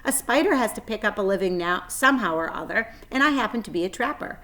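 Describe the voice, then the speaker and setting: A woman's voice: medium pitch, animated, fast, fairly bright tone, pitched above the performer's own voice, close to an everyday voice. A woman voicing a clever, kindly female spider, articulate and matter-of-fact, with a pleasant thin voice.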